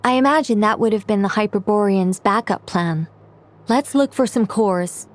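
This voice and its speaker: A woman playing a knowing, composed and analytical character in an anime game, explaining things in a measured way. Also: mid-range voice, lightly acted. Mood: thoughtful. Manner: measured, explanatory, matter-of-fact. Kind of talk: anime game dub acting.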